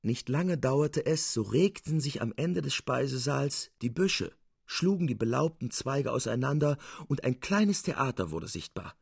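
A man narrates literary fiction in the style of an audiobook. His voice is mid-pitched, and the recording is dry with very little room sound. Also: sing-song, measured pace, creaky, breathy edge, dry recording.

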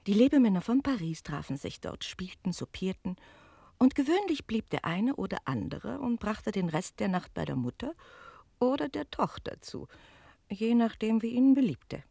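An actress playing a worldly, teasing innkeeper's wife who tells stories expressively and confidingly in a German radio play. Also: female voice, medium pitch, very animated, moderate pace, creaky, dark tone, breathy edge, no echo, full character voice. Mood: confiding, narrating.

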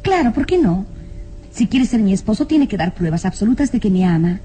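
A woman's voice: medium pitch, big pitch swings, fairly quick, warm tone, faint music behind, natural voice. An actress playing a young, romantic noblewoman in a melodramatic radio drama, nervous yet commanding, with theatrical delivery. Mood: playful, musing.

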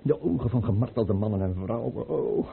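Haunted tsar, tinny noisy recording. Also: male voice, medium pitch, expressive, normal speed, gravelly. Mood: haunted, fearful. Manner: anguished, theatrical delivery in broken phrases. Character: terrified, haunted male tsar, paranoid and defiant. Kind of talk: Dutch radio play acting. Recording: noisy, clicking, narrow-band old radio recording.

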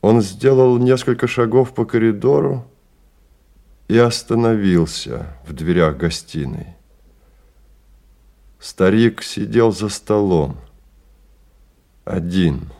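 A man with a very low, mellow voice narrates a radio play. His phrasing is measured and deliberate, with dramatic pauses over quiet music.

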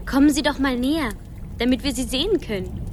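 A woman's voice: high voice, a little fast, background noise, close to an everyday voice. An actress in a radio play voicing a bold, stubborn little girl, pert, blunt and fearless. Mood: curious, cheeky.

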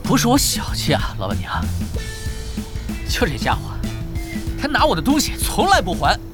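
With music playing behind, a young man in an audio drama, his delivery swinging widely in pitch. He banters and needles like a sibling, expressive and quick to argue. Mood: teasing. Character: young man, teasing twin brothers bickering over dinner. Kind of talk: Chinese audio drama acting.

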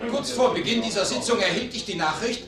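An actor playing a formal, agitated parliamentarian making a forceful speech in a radio play, in an echoey chamber. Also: male voice, very high-pitched, fast. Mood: defensive. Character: agitated male parliamentarian defending silence in debate. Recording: echoey chamber, distant from mic.

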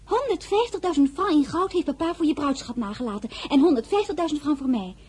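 An earnest young merchant's daughter who tells her own story in a radio play. her voice sits high and mellow. she speaks with feeling. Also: expressive, quick pace. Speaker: narrator and lead character. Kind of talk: radio drama acting and narration.

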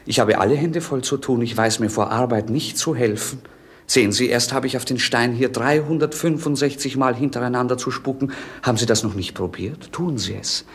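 A young prince steeped in idle melancholy. His delivery is theatrical and ironic, in old German radio drama manner. The voice is mid-pitched and a little veiled. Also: fairly quick, slightly rough. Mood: ironic, restless. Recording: 1950s radio play recording.

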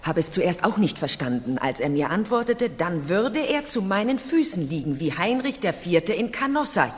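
An actress in a 1970s German radio play, playing a proud, high-strung middle-aged noblewoman with a haughty, confessional manner. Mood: reminiscing, proud. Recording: reverberant room, vintage radio drama.

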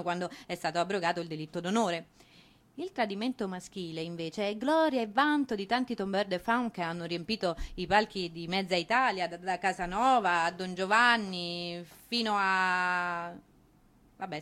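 A woman delivering a theatrical narrated monologue, ironic and expressive, with dramatic pauses. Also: medium pitch. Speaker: stage narrator and performer.

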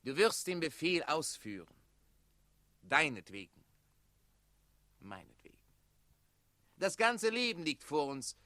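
A man playing a cold, ambitious mayor's son and official in a 1960s German radio play, crisp, commanding and self-assured. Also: fairly high pitch, big pitch swings, takes it slowly, long pauses, pushed and bright, no echo, exaggerated voice. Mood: boastful, commanding.

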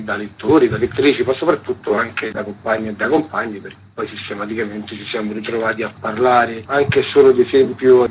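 A man's voice: on the low side, rough recording. Discursive man over noticeable background noise. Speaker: radio programme editor being interviewed. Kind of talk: conversational interview answers, explaining at length.

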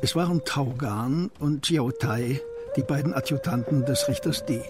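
A man narrating a historical crime radio play in a measured, literary style, as a sober storyteller. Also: medium pitch, loud music behind the voice, natural voice. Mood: sober, suspenseful.

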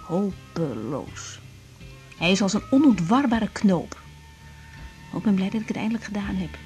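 An actress playing a young woman doctor in a 1980s radio drama, talking hesitantly with soft whispered asides. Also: mid-range voice, expressive, a little slow, faint music behind, natural voice. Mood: reflective. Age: young adult. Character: young woman doctor, thoughtful and self-doubting.

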